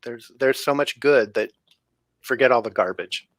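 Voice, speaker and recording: A man's voice: medium pitch, moderate pace, a bit bright, no room sound, clean studio sound. A man speaking as a guest author in a casual interview, earnest and repeating himself for emphasis.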